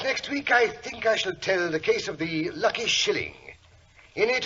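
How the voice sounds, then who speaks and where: Genial storyteller sidekick, British, blustery, slightly high voice, hissy 1940s radio recording. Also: male voice, fairly bright tone, dull audio. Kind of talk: 1940s radio drama acting.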